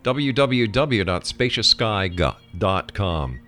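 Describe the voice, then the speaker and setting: Male radio interview host, lowish voice, addressing guest and listeners. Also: lively intonation, slow, bright, forceful tone, faint music behind.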